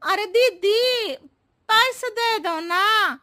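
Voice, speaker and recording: A woman voicing a scatterbrained, cheeky housemaid who talks in exaggerated, roundabout riddles for a comic animated story. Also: very high voice, extremely slow, full character voice. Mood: innocent protesting. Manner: exaggerated, circuitous riddling talk.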